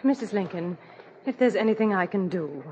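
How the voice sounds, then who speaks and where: A genteel society lady in an old radio play. Her mid-pitched voice is polite, solicitous and earnest, on a dull vintage recording. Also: animated, fairly quick, warm tone, husky. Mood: contrite, concerned. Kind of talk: 1940s radio drama acting.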